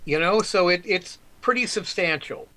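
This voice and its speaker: A man's voice: high voice, animated, normal speed, pushed and bright. A man guest author telling stories on a live stream, rambling with many ums and restarts. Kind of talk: casual storytelling on a live stream.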